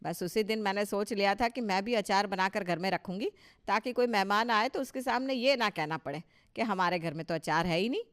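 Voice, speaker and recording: A touchy, bossy older mother-in-law in a family cartoon, acted by a woman. Her pitch sits in the middle of the female range. She speaks with proud emphasis and a boastful, scolding manner. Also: a little fast, lightly acted. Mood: determined. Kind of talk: Hindi cartoon story dialogue.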